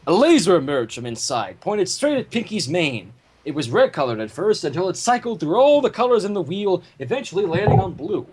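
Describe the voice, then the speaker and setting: A man's voice: sits high, very animated, a little fast, darkish tone, slight background noise. A man reading fan fiction aloud as narrator, animatedly, with casual asides. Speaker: story reader.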